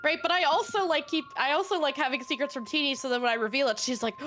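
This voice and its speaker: A woman's voice: sits high, fast talker, a bit bright, faint music behind. A woman tabletop player chatting casually and jokingly with friends. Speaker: tabletop role-playing player. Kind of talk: casual chat.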